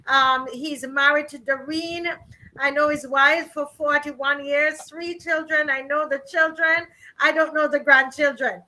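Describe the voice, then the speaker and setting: A middle-aged woman hosts and introduces guests in a loose, chatty way, filling pauses with plenty of ums and restarts. Her voice sits high, with a bright edge. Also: fairly even intonation, low-quality recording. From Barbados. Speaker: host of a virtual rally. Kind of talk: casual hosting and introductions.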